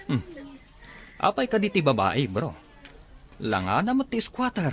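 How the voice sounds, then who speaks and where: A man's voice: fairly high pitch, wildly swooping pitch, unhurried, a bit bright, slightly rough, heavily acted. A man playing a mocking, snobbish young buddy in an Ilocano radio drama, teasing with a drawl. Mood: teasing, scornful.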